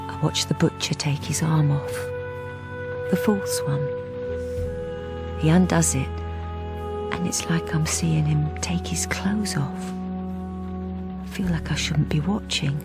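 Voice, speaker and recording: A woman's voice: sits low, vocal fry. A woman playing a timid, anxious wife in a radio drama, speaking hesitantly with stammering restarts, both in dialogue and intimate narration. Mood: quiet, confiding. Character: anxious, timid wife who has turned invisible.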